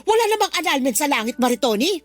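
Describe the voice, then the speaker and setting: A woman's voice: sits high, sing-song, quick pace, soft background music. A woman playing a spiteful, possessive older mother in a Filipino radio drama, taunting and accusing. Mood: indignant, scolding.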